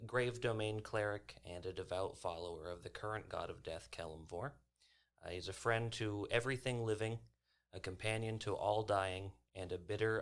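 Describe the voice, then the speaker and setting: A man in his twenties, a tabletop game player talking casually about himself and his character. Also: sits low. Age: 20s. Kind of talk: casual self and character introduction.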